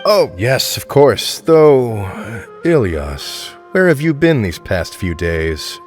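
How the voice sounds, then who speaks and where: A man's voice: mid-range voice, sing-song, slow, faint music behind. A man voicing a formal, stern but fair administrator in a game, polite and businesslike. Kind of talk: game voice acting.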